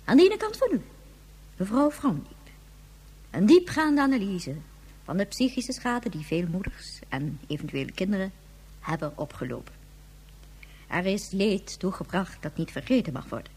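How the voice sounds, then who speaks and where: A woman's voice: mid-range voice, sing-song, moderate pace, pauses often, darkish tone, husky, poor audio. A woman playing a stern, imperious president who gives formal, commanding orders in a Dutch science fiction radio play. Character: stern, imperious female president of a moon government.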